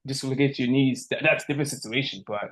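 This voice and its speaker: A man's voice: medium pitch, fast. A man from Nigeria chatting casually as an interview guest on a video call, easygoing and joking about himself. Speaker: powerlifter, podcast guest. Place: indoor room at home, video call. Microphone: video call, device at a short distance.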